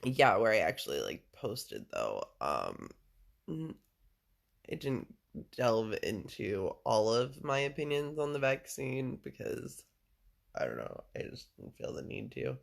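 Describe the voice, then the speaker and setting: A man with a deep voice chatting casually and at length to a listener, rambling, full of fillers and casual swearing. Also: big pitch swings, measured pace, vocal fry. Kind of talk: casual personal chat with a listener.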